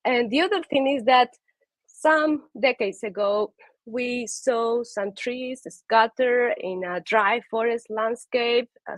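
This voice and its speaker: A woman's voice: fairly high pitch, dry recording. Peruvian woman giving an earnest conservation talk. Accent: Peruvian Spanish. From Peru. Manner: earnest, with frequent fillers and restarts. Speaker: conservation speaker. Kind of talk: prepared talk.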